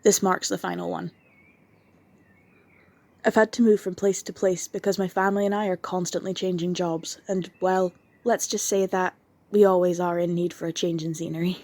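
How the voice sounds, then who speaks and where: A woman's voice: fairly low pitch, level tone, moderate pace, darkish tone, no room sound. A sweet young vampire girl. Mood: relaxed. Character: young vampire woman, friendly new neighbor. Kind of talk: ASMR audio drama.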